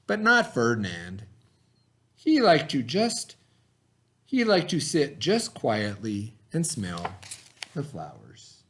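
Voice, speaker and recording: A man's voice: mid-range voice, sing-song, slow pace, long pauses, fairly bright tone. A man hosting a read-aloud, telling a children's story expressively with informal asides to his listeners.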